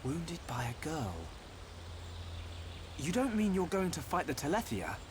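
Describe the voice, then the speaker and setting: A kind, eager young hero in a video game drama. His voice is fairly high and moves freely in pitch, with an earnest manner. Ambient noise is clearly heard behind him. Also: big pitch swings, unhurried. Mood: concerned.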